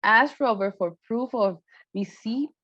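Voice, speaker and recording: A woman's voice: a little high, a bit bright, dry recording. Woman trainer with a non-native accent, dull audio. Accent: non-native English. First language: not English. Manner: deliberate, explanatory, stresses key terms. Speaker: workforce program trainer. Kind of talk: training presentation.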